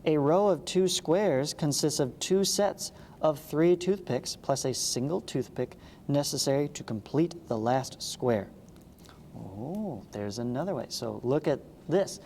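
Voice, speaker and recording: A man's voice: fairly high pitch, animated, measured pace, a little mellow. A man teaching math, reading a lesson aloud and adding casual explanatory asides. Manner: explanatory, checks understanding with short asides. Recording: long reverberant echo, clearly noisy background.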